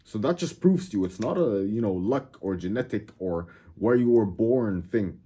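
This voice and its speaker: Husky low-voiced man, emphatic and brash. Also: animated, moderate pace, dark tone. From Egypt. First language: Arabic. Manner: emphatic, brash, keeps saying "bro". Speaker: self-improvement YouTuber. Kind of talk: casual direct-to-camera talk.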